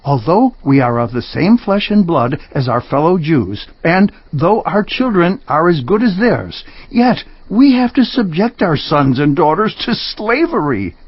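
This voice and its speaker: An actor playing an indignant, debt-burdened villager with a pleading, aggrieved delivery in a scripture drama. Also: male voice, a little high, sing-song, unhurried, hardly any pauses, slightly rough, no room sound, lo-fi sound, full character voice. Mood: anguished, aggrieved.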